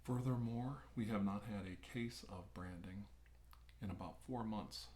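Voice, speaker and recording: Guy acting as calm asmr doctor, lowish hushed male voice, soothing, echoey room w faint noise. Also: lightly acted. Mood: formal, measured. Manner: slow, hesitant, soothing delivery. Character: calm, reassuring male doctor examining an alien abduction patient. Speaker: ASMR roleplay performer. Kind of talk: ASMR medical exam roleplay, soft-spoken to whispered. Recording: roomy echo, faint background hiss.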